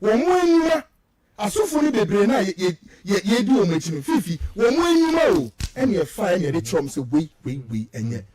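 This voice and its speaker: Male Ghanaian radio commentator, very high-pitched and widely swinging delivery, combative and indignant, hammering points through repetition. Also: wildly swooping pitch, fairly quick, a crowd in the background. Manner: combative, emphatic, indignant, repeats words for emphasis. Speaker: radio show guest commentator. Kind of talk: heated political commentary mixing English and Twi.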